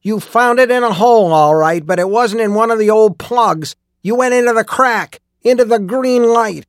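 Man acting a furious old miner, high-pitched and accusing. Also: normal speed, no room sound, clean studio sound, lightly acted. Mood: bitter, furious. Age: older. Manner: shouting accusations, emphatic. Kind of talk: audiobook character acting.

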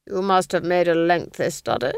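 A woman voicing an imperious, cruel Duchess in a storybook reading, with a shrill, haughty, biting delivery. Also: moderate pace, clean tone, dry recording, studio quality, lightly acted. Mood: probing. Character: imperious, cruel, menacing fat Duchess, domineering villain.

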